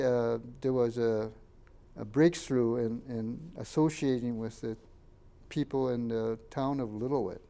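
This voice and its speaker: An older man, a Japanese first-language speaker from Canada, recalls his childhood in a halting oral history interview and points out photos. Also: medium pitch, vocal fry, warm tone. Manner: halting, many fillers and restarts, pointing out photos.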